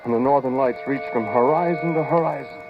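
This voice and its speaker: An actor playing a haunted inventor who narrates a terrifying experiment in an old-time radio horror drama, halting and intense. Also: male voice, mid-range voice, natural voice. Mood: brooding, intense. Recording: muffled old radio recording, music behind.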